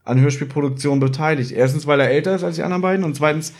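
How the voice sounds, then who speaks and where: Male podcast co-host, slightly high voice, casual and playfully argumentative. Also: very fast. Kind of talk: casual chat, audio drama review.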